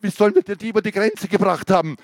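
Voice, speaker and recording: Field: a man shouting as a panicked, furious prisoner. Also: fairly high pitch, a little fast, breathy edge, dry recording, clean studio sound. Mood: stammering, panicked. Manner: shouting, stammering, breathless protests. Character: frightened, furious male prisoner under interrogation.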